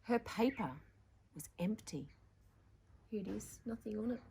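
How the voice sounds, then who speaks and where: A woman's voice: mid-range voice, animated, a little slow, creaky, dark tone, slight background noise. A woman presenting a children's storytime, reading a picture book theatrically with dramatic emphasis and chatty asides to young listeners.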